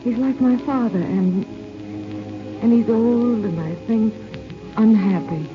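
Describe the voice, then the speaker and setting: An actress playing a gentle, anxious young woman in love in an old radio drama, speaking tenderly and hesitantly. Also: a little high, slow pace, music in the background. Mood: tender pleading. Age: young adult. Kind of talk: 1930s-40s radio drama acting. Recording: dull old radio broadcast.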